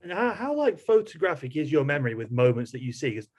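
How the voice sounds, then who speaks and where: A man's voice: a little high, very animated, fast talker, a little hiss or hum. A man hosting a podcast, asking chatty, conversational questions and rephrasing them as he goes. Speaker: podcast host, interviewer. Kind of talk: casual conversational interviewing.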